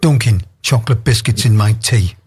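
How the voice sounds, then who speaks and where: Husky radio host, chatty. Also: male voice, on the low side, normal speed, a little mellow. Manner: chatty, affirming, laughs often. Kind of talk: casual radio interview chat. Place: radio studio.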